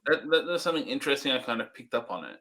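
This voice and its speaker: A man's voice: medium pitch, big pitch swings, rapid-fire, pushed and bright. A man co-hosting a casual movie review, giving loose, hesitant opinions with frequent ums and restarts. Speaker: co-host.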